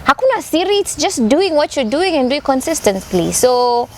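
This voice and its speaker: A woman in her thirties with a Kenyan accent, a guest in a casual chat interview, speaking in a relaxed, matter-of-fact way and mixing Swahili with English. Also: high voice, moderate pace, a little hiss or hum. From Nakuru, Kenya. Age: 30s. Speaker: guest musician.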